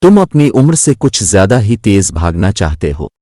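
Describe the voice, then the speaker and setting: An older cartoon rooster who knows better, as a male storyteller plays him. He admonishes with amusement in a lowish, lively voice. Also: moderate pace, no echo, studio quality. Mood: teasing, admonishing. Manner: teasing, admonishing.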